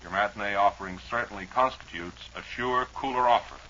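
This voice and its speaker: A man's voice: on the low side, brisk, sharp tone, lo-fi sound, a little hiss or hum. A man hosting a 1940s live radio show, chatting and reading listener mail in a rambling, dryly humorous, mock-serious way, on an old broadcast recording.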